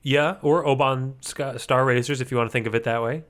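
A man's voice: medium pitch, steady tone, a little fast, a bit bright. A man co-hosting a podcast, chatting casually and slipping into playful, mock-serious jokes. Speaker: podcast co-host. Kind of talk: casual comedic chat.

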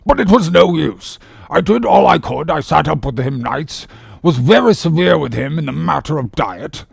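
A solemn, self-important old-time ship's surgeon as a male narrator plays him, pitched high and swinging in tone. He speaks with deliberate, formal gravity and a dry wit, as in a seafaring storybook. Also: expressive, vocal fry, breathy edge, no echo, full character voice. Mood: grave, earnest. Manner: imperturbable, formal, long-winded, deliberate. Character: pompous, dryly joking English ship's surgeon, solemn rogue.

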